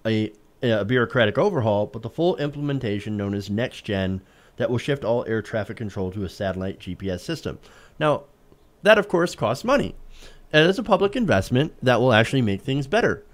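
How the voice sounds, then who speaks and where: Male, medium pitch. Political co-host delivering argumentative, emphatic news commentary with pointed rhetorical questions. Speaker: political talk show co-host.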